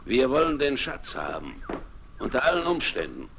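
An actor playing a cunning, smooth-talking pirate captain in a 1970s radio play, recorded on old vinyl. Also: male voice, mid-range voice, huge pitch swings, moderate pace, fairly bright tone, a little hiss or hum, full character voice. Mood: scheming. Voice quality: smooth, persuasive, turning threatening.

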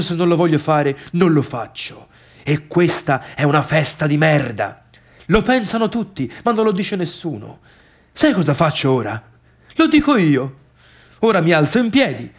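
An actor playing a gossipy, needling party guest and domineering friend in a theatre monologue, chatty and insistent, repeating himself and addressing his listeners directly. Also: on the high side. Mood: sullen, defiant.

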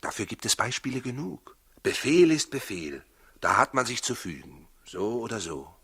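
An actor playing a practical, worried husband in a 1960s German radio play, plain-spoken and gruffly reassuring, with a husky voice. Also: male voice, mid-range voice, very animated, normal speed, sharp tone, exaggerated voice. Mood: resigned, gruff.

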